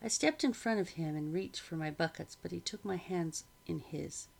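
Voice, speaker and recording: A woman reading a novel aloud as a narrator, with a measured delivery. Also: on the low side, vocal fry, darkish tone. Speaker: audiobook reader. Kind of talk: scripted story narration.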